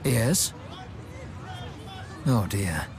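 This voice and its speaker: An actor playing a courteous older gentleman strategist in a video game, speaking in a formal, measured, polite way. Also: on the low side, animated, gravelly, a crowd in the background, full character voice. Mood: grave, composed. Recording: loud music behind the voice. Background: loud background music.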